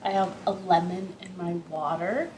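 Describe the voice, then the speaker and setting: A woman's voice: fairly low pitch, steady tone, moderate pace, a little mellow, reverberant, a little hiss or hum, poor audio. A woman hosting an interactive storytime for young children, chatty and playful, with rambling asides. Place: living room at home.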